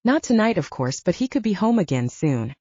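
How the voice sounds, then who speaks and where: Husky, mellow woman narrating fiction. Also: medium pitch, very animated, a little fast, no echo, lo-fi sound. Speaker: audiobook narrator.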